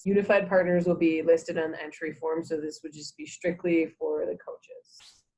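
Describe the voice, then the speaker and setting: A woman's voice: low-pitched, fairly even intonation, normal speed, a little mellow, rough recording. A woman from program staff, adding brief clarifying reminders during a conference call.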